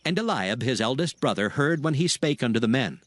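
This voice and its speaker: A man's voice: mid-range voice, animated, fairly quick, dry recording. A man narrating scripture as a scripted dramatic audiobook.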